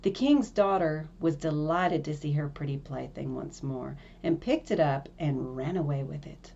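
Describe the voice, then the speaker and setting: A woman's voice: low-pitched, normal speed, creaky, mellow, highs cut off. A woman narrating a fairy tale from a script as a storyteller.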